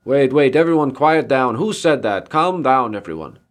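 A man voicing a commanding village head in a children's story, loud and officious, repeating his orders. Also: medium pitch, expressive, moderate pace, pitched above the performer's own voice, close to an everyday voice. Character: blustery, commanding male village Burgermeister.

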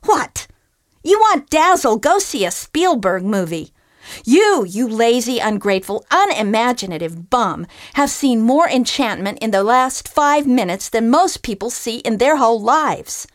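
A woman doing a blunt, sarcastic female groundhog, higher and louder than her reading voice, dry close sound. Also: expressive, a little slow, no room sound, natural voice. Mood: exasperated. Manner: sarcastic, scolding. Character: sharp-tongued female enchanted groundhog, blunt and sassy.

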